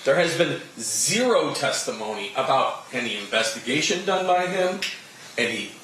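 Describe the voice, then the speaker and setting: A man's voice: medium pitch, big pitch swings, normal speed, sharp tone. An American man arguing as a trial attorney, emphatic and argumentative, pressing his points with rhetorical questions. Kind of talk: spontaneous legal argument in court. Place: indoor courtroom. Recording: very echoey, distant mic, faint noise.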